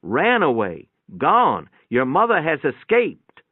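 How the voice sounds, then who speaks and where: A man's voice: fairly high pitch, huge pitch swings, takes it slowly, no echo, pitched above the performer's own voice, full character voice. A man voicing an agitated, insistent nursing-home nurse in a storybook reading, with emphatic, clipped, corrective phrasing. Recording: muffled, narrow phone-like sound, dry.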